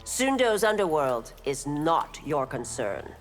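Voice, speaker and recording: A woman's voice: sits low, big pitch swings, slow, soft background music, heavily acted. A woman playing a tough chief constable in a fighting game, firm, curt and no-nonsense. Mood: stern. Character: stern, dutiful female chief constable, tough law enforcer. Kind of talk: fighting game dialogue acting.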